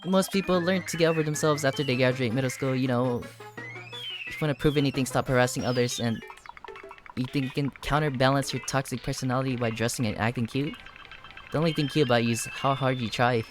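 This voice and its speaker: A woman's voice: a bass voice, fast talker, over music. A woman voicing a haughty, prickly young schoolgirl from a visual novel, snappy and defensive. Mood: scathing. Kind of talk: acted reading of game dialogue.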